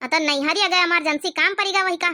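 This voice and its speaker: A woman's voice: very high voice, a little fast, sharp tone, a little hiss or hum, full character voice. A woman voicing a meek, placating old grandmother with an Awadhi accent in a cartoon comedy, plaintive and hesitant. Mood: resigned. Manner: plaintive, hesitant with filler phrases.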